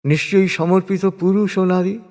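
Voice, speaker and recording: A man narrating translated scripture from a script in a solemn, formal, measured way with deliberate pauses. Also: sits high, flat delivery, darkish tone. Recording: long reverberant hall-like echo.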